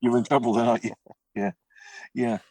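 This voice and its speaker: A man's voice: medium pitch, little pitch movement, brisk, darkish tone, husky, no echo, distant mic, low-quality recording. A male podcast host who encourages the guests and keeps agreeing with them. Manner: encouraging, many short agreements. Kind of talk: casual podcast chat.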